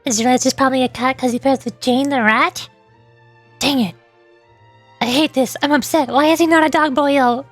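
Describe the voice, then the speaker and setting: A woman's voice: high voice, fairly even intonation, normal speed, no room sound, faint music behind. A woman streaming in a casual chat style, reacting with exclamations and breaking off her own sentences. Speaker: VTuber streamer. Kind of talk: casual livestream chat with game voice-acting.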